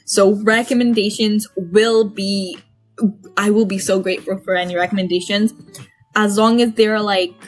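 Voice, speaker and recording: A woman's voice: mid-range voice, fairly even intonation, moderate pace, faint music behind. A woman with a bubbly, informal manner, reading fan fiction aloud and chatting to her listeners as an amateur narrator. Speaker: podfic narrator and channel host. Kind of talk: amateur audiobook narration and casual chat to listeners.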